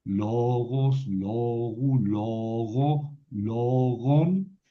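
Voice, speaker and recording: A man teaching a class, slowly reciting word forms and thinking aloud as he explains. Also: medium pitch. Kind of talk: slow classroom lesson on grammar. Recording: dull lo-fi recording.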